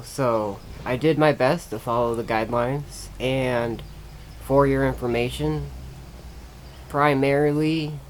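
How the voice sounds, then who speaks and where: A man's voice: medium pitch, slight background noise. A man talking casually and informally as a vlogger, rambling a little as he introduces a review. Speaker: travel vlogger and host. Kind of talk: casual vlog talk and review.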